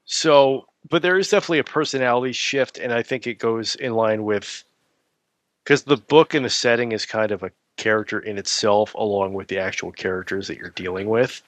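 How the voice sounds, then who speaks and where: A man's voice: fairly low pitch, a little fast, fairly bright tone, no echo. Casual man in a dry, close recording. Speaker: podcast guest, adventure novelist. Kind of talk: casual interview chat.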